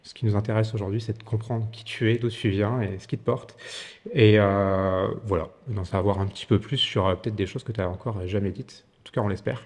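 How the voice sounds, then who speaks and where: Man, podcast host, informal, lots of fillers, low pitch, echo. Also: fairly even intonation, brisk. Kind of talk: casual interview.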